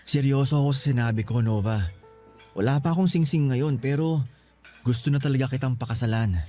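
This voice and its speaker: An actor playing an earnest, smitten boyfriend in a Filipino radio romance, sweet-talking and emotive with a Filipino accent. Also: male voice, medium pitch, fairly quick, mellow, soft background music, lightly acted. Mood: earnest pleading.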